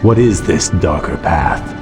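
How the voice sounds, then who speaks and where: An actor playing a proud, brooding elf prince with formal, solemn diction in a fantasy video game. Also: male voice, low-pitched, slow. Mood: grave. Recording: loud orchestral game music behind.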